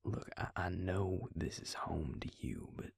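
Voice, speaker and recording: A man playing a worried, protective, gruff but caring vampire in an intimate audio roleplay, with a breathy, gravelly murmur and a halting, earnest, pleading manner. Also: sits low, very animated, dry recording, whispered, studio quality, heavily acted. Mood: grave concern.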